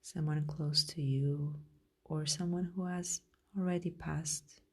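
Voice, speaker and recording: Low, slow, mellow woman guiding meditation. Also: fairly even intonation, breathy edge. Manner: gentle, soothing, unhurried with long pauses. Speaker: meditation guide, podcast host. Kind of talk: guided meditation narration.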